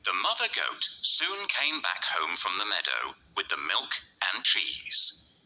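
A man narrating a scripted children's story in an expressive, deliberate way. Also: low voice, gravelly, poor audio.

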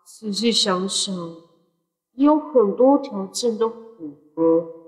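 A woman's voice: medium pitch, lively intonation, slow pace, slightly rough, soft background music, poor audio. A woman playing a curious, easily frightened young teenage boy in an audio drama. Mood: pondering, uneasy. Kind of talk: Mandarin audio drama acting.

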